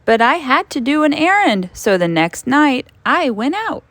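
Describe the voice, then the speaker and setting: Animated woman reading a children's story aloud. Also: sits high, no room sound. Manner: dramatic, drawn-out emphasis with big swoops for suspense. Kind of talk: expressive children's read-aloud.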